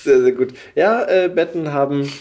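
A man's voice: on the high side, moderate pace, darkish tone. A man hosting a podcast, chatting casually as he interviews his guest. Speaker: podcast host.

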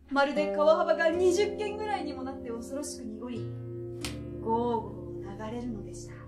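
A young woman recites a story on stage in a theatrical, deliberate way. Her voice is high-pitched and heard from some distance in a roomy space. Plucked harp music plays behind her. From Tokyo, Japan. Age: 20s. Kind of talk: expressive literary recitation. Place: small indoor stage. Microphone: far camera.

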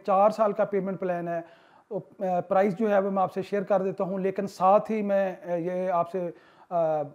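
Male consultant, high and soft-toned, persuasive voice-over full of "uh" fillers, muffled lo-fi sound. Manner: persuasive sales pitch, many "uh" pauses. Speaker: real estate consultant. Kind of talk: promotional voice-over.

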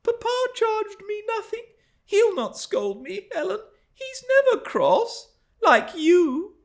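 A man voicing a spoiled, excitable thirteen-year-old girl from a classic novel. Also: very high voice, slow pace, pitched above the performer's own voice, exaggerated voice. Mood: tearful protest. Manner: excitable, wheedling.

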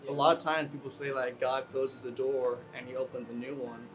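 A young man talking casually about fishing in an interview, relaxed and full of fillers, sitting on an outdoor balcony. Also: medium pitch, a little fast, poor audio. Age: young adult. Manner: relaxed, many fillers, gets excited. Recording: guitar music underneath.

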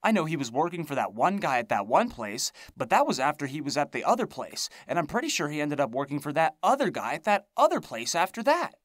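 A man's voice: fairly high pitch, big pitch swings, a little fast, hardly any pauses, no room sound, studio quality, voice pushed up. A man voicing a rotting, ghoulish woman who rambles in a folksy, whiny, needling way.

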